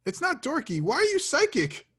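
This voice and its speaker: A man's voice: very high voice, wildly swooping pitch, moderate pace, fairly bright tone, heavily acted. A man playing an awkward, sheepish young slacker in a comedy table read, talking in a casual, hesitant way.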